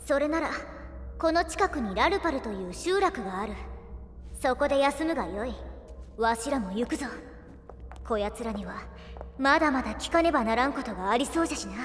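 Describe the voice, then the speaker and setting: A woman's voice: sits high, lively intonation, a little fast, pauses often. A woman playing a bossy girl adventurer in an anime game who talks in haughty, old-fashioned elderly phrasing. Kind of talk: Japanese anime game voice acting. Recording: loud music behind the voice.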